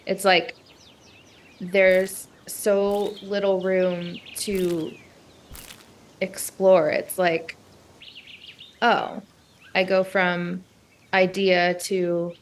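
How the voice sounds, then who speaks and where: A woman being coached, talking casually and thinking aloud with a halting, filler-heavy delivery. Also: fairly low pitch, flat delivery, a little hiss or hum. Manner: halting, conversational, frequent hedging fillers.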